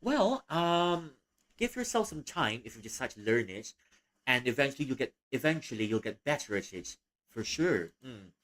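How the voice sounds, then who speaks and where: A young man from Malaysia chatting casually on a livestream, rambling with frequent restarts and soft laughs. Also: medium pitch, sing-song, moderate pace.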